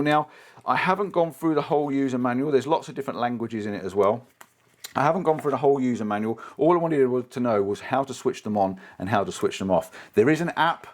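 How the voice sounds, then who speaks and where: A man, chatting casually through an unboxing, upbeat and prone to repeating himself. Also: mid-range voice. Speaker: gear reviewer.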